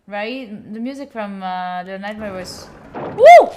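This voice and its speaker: A woman's voice: medium pitch, big pitch swings, unhurried, noisy background. Chatty Italian woman streaming a game. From Italy. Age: young adult. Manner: chatty, talks to chat, cheers on her character with sudden squeals. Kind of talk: live gameplay stream chat.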